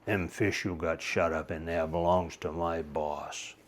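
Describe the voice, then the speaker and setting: A man voicing a surly sea serpent in a low, gruff growl. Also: steady tone, unhurried.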